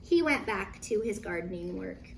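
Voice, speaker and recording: A woman teacher reading a children's story aloud with animated emphasis, dramatic pauses and brief asides to her young listeners. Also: medium pitch, vocal fry, a little hiss or hum.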